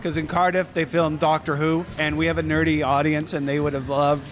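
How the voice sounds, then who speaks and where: A man's voice: on the high side, flat delivery, a little fast, slight background noise. A man hosting a live radio show from a convention fair, talking in a rambling, enthusiastic way with frequent restarts. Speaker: radio host. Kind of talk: casual live radio interview.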